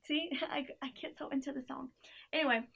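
Young woman chatting about songs, high voice w/ lots of fry, filler-heavy, giggly, small room. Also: steady tone, a little fast, fairly bright tone. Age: young adult. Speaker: playlist reviewer. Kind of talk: casual chat. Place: small room indoors by a door.

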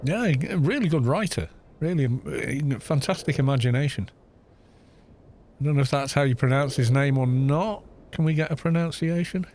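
A man's voice: fairly high pitch, moderate pace, a little mellow, a little hiss or hum. A man streaming a game, chatting casually in a rambling, self-deprecating way on a close desk mic.

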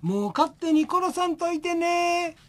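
A man's voice: very high-pitched, lively intonation, measured pace. A man playing a grumbling, left-out narrator with a Kansai accent in a comic radio drama.

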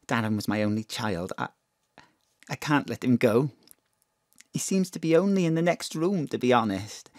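A woman's voice: a bass voice, dry recording, studio quality, full character voice. A woman playing a polite, hesitant, old-fashioned mother in her thirties, dignified in grief, in an audio drama. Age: 30s.

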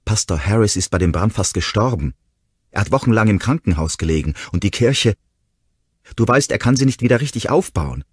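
Ein Mann spricht eine junge Frau, verletzt und wütend, mit schrillen, flehenden Ausbrüchen. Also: male voice, sing-song, normal speed, husky, dry recording, full character voice. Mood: upset. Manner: shrill, pleading outbursts. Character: young woman, hurt and furious.

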